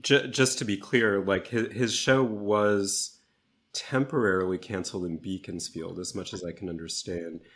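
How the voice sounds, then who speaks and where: A man's voice: fairly low pitch, steady tone, normal speed, a bit bright. A man speaking as an interview guest, choosing his words carefully and hesitantly in a conversational way. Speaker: theatre artist, interview guest.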